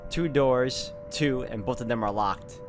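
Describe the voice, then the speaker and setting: A man's voice: mid-range voice, unhurried. A man doing a let's play, reading a visual novel aloud and adding casual asides as he thinks aloud. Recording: music behind the voice.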